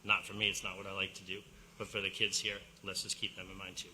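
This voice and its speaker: A man standing up at a public meeting to speak, earnest and a bit halting. He has a lowish voice with a very bright, sharp edge to it. Faint room noise sits under him. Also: flat delivery, poor audio. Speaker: community member addressing board.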